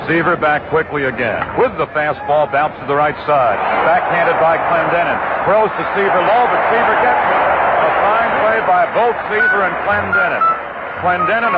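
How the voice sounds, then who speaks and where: A male radio announcer calls a baseball game pitch by pitch in a brisk, detailed way. His voice comes through a thin, phone-like 1960s AM broadcast. A steady hiss sits under it. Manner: brisk, detailed, rises in pitch on exciting plays. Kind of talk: radio play-by-play commentary. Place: ballpark broadcast booth.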